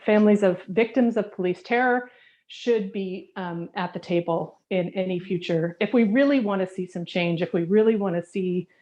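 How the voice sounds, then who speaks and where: Woman, resident, earnest, halting with fillers, mid pitch, dull lo-fi call audio. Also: warm tone, slight background noise. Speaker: resident giving public comment. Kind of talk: public comment at a community forum. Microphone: video call.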